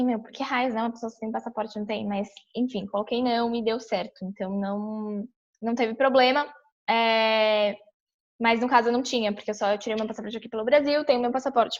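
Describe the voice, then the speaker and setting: A young woman from São Paulo with a Brazilian accent talks through a tutorial at her computer. Her voice sits a little high, and she chats loosely with many fillers and restarts. The sound comes through a dull, lo-fi webcam mic indoors. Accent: São Paulo Brazilian Portuguese. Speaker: vlogger walking through a visa application. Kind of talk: casual screen-share tutorial.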